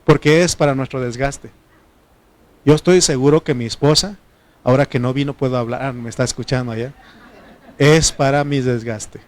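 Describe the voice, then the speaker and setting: A man's voice: medium pitch, steady tone, normal speed. Middle-aged Mexican man preaching folksy. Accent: Mexican Spanish. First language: Triqui. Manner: folksy, joking, asks the congregation for responses. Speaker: pastor. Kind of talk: sermon.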